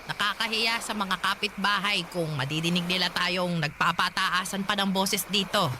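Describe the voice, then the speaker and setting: A woman's voice: mid-range voice, fairly even intonation, moderate pace, background noise, lightly acted. A woman playing a worried old Filipino grandmother in a radio drama, pleading and chiding to keep the peace. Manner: anxious, pleading, scolding.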